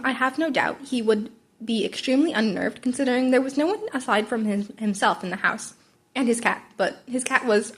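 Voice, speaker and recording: A woman's voice: fairly high pitch, a bit bright, slightly rough. A woman narrating fan fiction as an amateur reader, often stumbling and rereading phrases. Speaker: podfic narrator.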